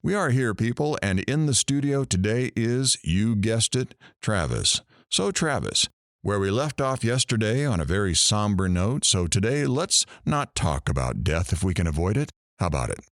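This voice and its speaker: An actor playing a brash, sarcastic morning radio host in an audio drama, with showy patter. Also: male voice, fairly low pitch, big pitch swings, moderate pace, no echo, studio quality. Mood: hyped, sarcastic.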